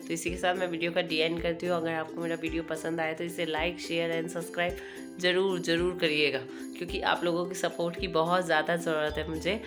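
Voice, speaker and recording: A young woman, hoarse from a cold, chatting casually as she narrates her home cooking vlog. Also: on the low side, little pitch movement, a little fast, over music. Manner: chatty, homely, meandering. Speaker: cooking vlogger.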